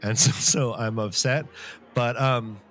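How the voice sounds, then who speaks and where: A man's voice: mid-range voice, steady tone, slow pace, fairly bright tone, low-quality recording. A man co-hosting a podcast, talking casually and wryly, repeating phrases for emphasis. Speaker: podcast co-host. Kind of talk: casual comedic banter and book discussion.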